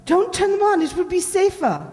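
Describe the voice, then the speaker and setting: A high-pitched woman's voice rings out in a long, stagey reverb. An actress plays a secretive lady spy in an old-time radio drama, frightened and urgent, pleading breathlessly with theatrical intensity. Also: big pitch swings, moderate pace, a little mellow. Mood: urgent, anxious.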